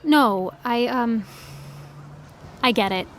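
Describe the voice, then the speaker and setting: A young woman playing a blunt, disillusioned eighteen-year-old fan writer in an audio drama, with a sardonic, halting delivery. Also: a little high, slight background noise. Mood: subdued, awkward. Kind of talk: naturalistic audio drama dialogue.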